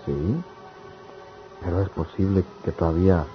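A downcast, dutiful young countryman played by a man with a very low voice and a Mexican accent, in old radio soap style. The sound is muffled, with faint music. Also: flat delivery, moderate pace, lightly acted. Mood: dejected, subdued. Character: dejected, dutiful grown son of poor village family.

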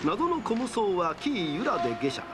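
High-pitched, swooping theatrical male narrator. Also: wildly swooping pitch, normal speed. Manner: playful, mock-archaic storyteller phrasing. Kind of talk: theatrical scripted documentary narration. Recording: music loud behind the voice. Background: loud music.

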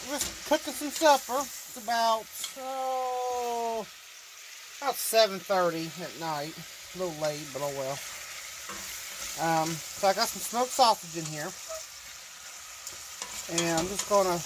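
A man's voice: sits high, measured pace, pauses often. A man casually chatting while he cooks in a small RV kitchen, rambling folksy talk with a phone camera held over the stove. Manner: relaxed, rambling, folksy, with fillers. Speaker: home cook vlogger. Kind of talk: casual cooking vlog. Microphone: handheld phone camera over the stove. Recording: kitchen noise with sizzling food.

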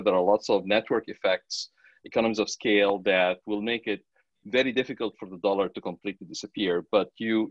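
A middle-aged man from Egypt explaining as a professor on a panel, in a halting way with repeated words, over a video call. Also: fairly low pitch, no echo. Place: home office with bookshelves. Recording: dull, lo-fi video call audio.

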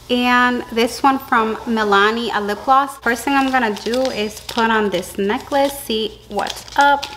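A young woman, a dental hygienist, chatting casually to her viewers in a vlog from her car and living room, talking close to the camera. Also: on the high side. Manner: chatty, addresses viewers as baby girl. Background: soft background music.

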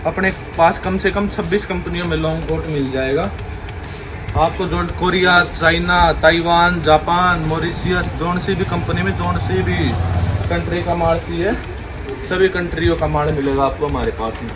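A young man with a slightly raised voice rattles off a pushy, repetitive sales patter. He is filmed on a handheld phone inside a large clothing warehouse. Music plays clearly behind him. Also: on the high side, steady tone, normal speed, poor audio. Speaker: wholesale clothing seller. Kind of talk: sales pitch video.

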